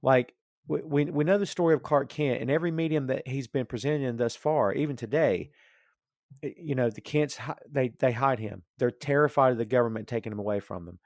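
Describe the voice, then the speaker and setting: A man's voice: mid-range voice, a little fast, a little mellow, no room sound, clean studio sound. A man in a casual, opinionated podcast discussion, rambling and thinking aloud as he goes. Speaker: podcast host discussing comics and film.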